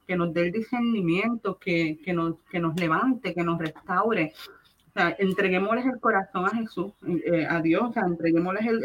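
A woman's voice: fairly low pitch, breathy edge. Puerto Rican woman, dull lo-fi recording. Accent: Puerto Rican Spanish. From Puerto Rico. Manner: earnest, emphatic repetition, addresses listeners directly. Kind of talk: personal religious testimony, conversational preaching.

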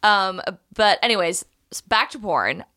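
Female podcast host, very animated, bright sound, dry close audio, casual banter. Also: medium pitch, measured pace, dry recording. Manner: interjecting, encouraging listener.

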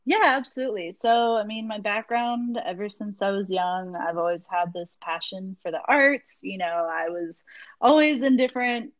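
A young woman from Florida talks casually about her background, with lots of ums and likes as she wanders between thoughts. Her voice sits at an ordinary pitch. The sound is close and dry. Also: normal speed, dry recording. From Jacksonville, Florida. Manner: rambling, many ums and likes. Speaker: magazine founder, guest. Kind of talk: casual interview chat.

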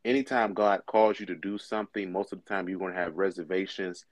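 Young male pastor in his twenties, deep low voice, relaxed chatty delivery, dry close recording. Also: brisk, no echo. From Michigan. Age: 20s. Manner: relaxed, conversational, with frequent fillers. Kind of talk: casual interview conversation.

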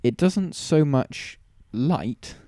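Man, early twenties, relaxed dark tone, animated pitch, casual banter, close dry sound. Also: drawn-out words, dry recording. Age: early 20s.

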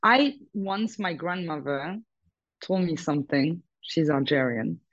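A woman's voice: deep voice, lively intonation, a little slow, no room sound, lo-fi sound. A businesswoman talking frankly as a guest in an interview, often stressing her points with "totally" and "hundred percent". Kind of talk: casual interview conversation.

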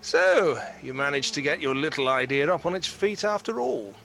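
A man's voice: a little high, animated, normal speed, gravelly, a bit bright, slight background noise. An actor playing a pompous, condescending beekeeping club stickler in an audio drama, patronising and pedantic in how he talks. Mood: sceptical, needling.

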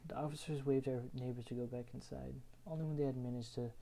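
Young man, very dark flat voice. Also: medium pitch, quick pace, creaky. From Houston, Texas. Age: young adult. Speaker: student reading his own essay. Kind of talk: reading a written personal story aloud.

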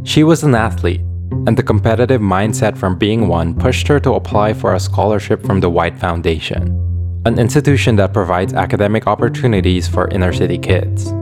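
Low-pitched man narrating. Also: brisk, over music. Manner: clear, storytelling delivery. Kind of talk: scripted documentary narration.

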